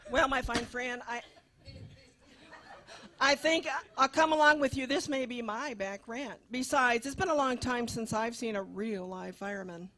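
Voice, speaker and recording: Woman acting a high-voiced nagging landlady. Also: unhurried, bright, forceful tone. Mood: needling. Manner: dry, needling. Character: nagging, sarcastic female landlady, exasperated and money-minded. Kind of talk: comic radio-play acting.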